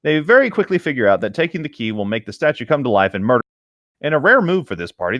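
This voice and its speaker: A man's voice: mid-range voice, a bit bright, no room sound, studio quality. A man who reads a written story aloud and drops into casual chat as a podcast host. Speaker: podcast host reading stories.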